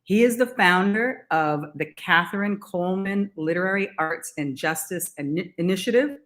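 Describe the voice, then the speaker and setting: A woman hosting a literary and arts salon, introducing speakers in a measured way. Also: lowish voice, animated, a bit bright. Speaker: event host.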